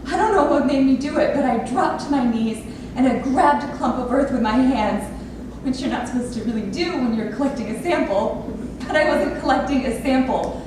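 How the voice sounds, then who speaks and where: A woman's voice: high voice, a little fast, lightly acted. An actress playing an earnest, intense grown daughter of an abusive father in a staged play reading. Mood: intense, moved. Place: theatre lab room with an audience area. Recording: very echoey room, distant mic, noisy.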